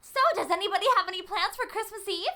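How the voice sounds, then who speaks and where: A woman voicing a brash, exuberant, commanding high school girl who leads a club in an anime fan dub. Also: steady tone, quick pace. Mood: brash, teasing. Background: loud music.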